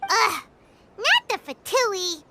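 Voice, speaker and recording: A woman voicing a tiny, childlike, excitable fairy companion in a video game, squeaky and exclamatory. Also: slow, very noisy, full character voice. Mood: indignant. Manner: exclamatory, refers to self by name. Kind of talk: video game character dialogue. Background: loud background music.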